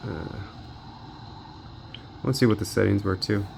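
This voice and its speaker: A man's voice: on the low side, fairly even intonation, measured pace, gravelly, a little mellow, a little hiss or hum. A man giving casual gameplay commentary as a handheld gaming reviewer, informally thinking aloud as he tests. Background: video game music.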